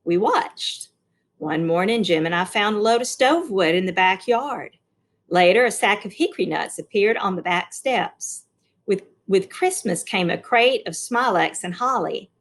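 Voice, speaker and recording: A woman's voice: mid-range voice, lively intonation, a little slow. Woman, read-along narrator, mid-range voice, storybook reading, teacherly asides. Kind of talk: novel read-aloud with explanatory asides.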